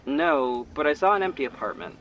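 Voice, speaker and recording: Young shopkeeper sleuth guy, played by an actor, lively, joking, flustered, animated pitch, faint background noise. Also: brisk, muffled recording.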